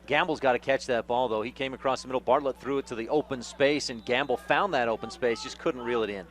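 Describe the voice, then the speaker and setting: A man's voice: mid-range voice, fast talker, a bit bright. A man working as a radio color commentator, explaining football plays in a conversational way during a live game.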